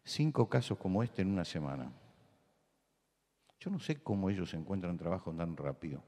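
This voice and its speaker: Rioplatense male preacher, lowish voice, emphatic and repetitive, church hall reverb. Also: expressive, moderate pace. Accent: Rioplatense Spanish. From Buenos Aires. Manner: emphatic, repeats key words, rises to loud insistence. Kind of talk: sermon talk with anecdotes.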